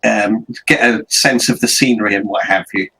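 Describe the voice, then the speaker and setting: Tabletop player, man, lowish pitch, relaxed conversational description, slight background noise. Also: moderate pace, poor audio. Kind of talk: casual description of own character.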